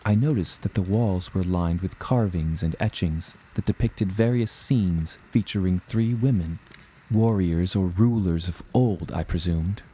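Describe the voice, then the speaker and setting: Deep, dark-voiced male narrator. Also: measured pace, a little hiss or hum, low-quality recording. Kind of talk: scripted first-person adventure narration.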